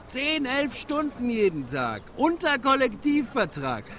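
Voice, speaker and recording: High-pitched, animated aggrieved husband. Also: male voice, heavily acted. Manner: sarcastic, reproachful, emphatic. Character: aggrieved, jealous, indignant husband. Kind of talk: rhymed radio drama acting.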